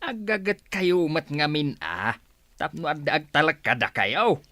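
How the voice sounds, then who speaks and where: A man's voice: fairly high pitch, expressive, a little slow, sharp tone, no echo. A man with an Ilocano accent playing an exasperated, scolding older village father in a radio comedy drama. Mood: exhorting. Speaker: voice actor.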